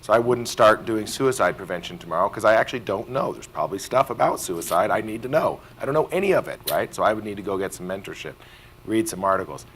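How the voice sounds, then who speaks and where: A man with a very low voice speaks on a panel in a plain, reflective way, with faint room noise behind him. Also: lively intonation, fast, fairly bright tone. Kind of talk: conference panel discussion.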